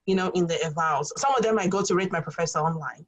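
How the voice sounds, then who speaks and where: A woman's voice: on the low side, fast talker. Nigerian-accented woman telling classroom stories. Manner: storytelling, earnest, many fillers. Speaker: college English instructor. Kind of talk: roundtable podcast discussion.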